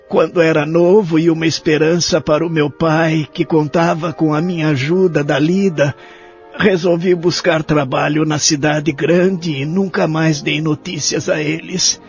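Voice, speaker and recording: A man's voice: fairly high pitch, fairly even intonation, measured pace, gravelly. A man playing a lonely, remorseful elderly care-home resident in an audio drama, speaking earnestly and wistfully. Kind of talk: audio drama acting and first-person narration. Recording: dull lo-fi audio, faint music behind.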